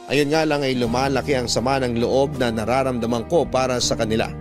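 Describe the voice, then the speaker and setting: Male narrator, classic radio storytelling, sentimental scripted letter reading, measured phrasing, prominent music bed. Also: medium pitch, steady tone. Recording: music under the voice.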